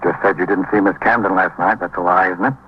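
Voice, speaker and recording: A man's voice: deep voice, steady tone, quick pace, close to an everyday voice. A male actor playing a stern, methodical American police lieutenant in a 1950s radio drama, firing clipped, formal, pressing questions. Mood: stern, accusing. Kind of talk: 1950s radio acting, interrogation. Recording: muffled, noisy 1950s radio recording.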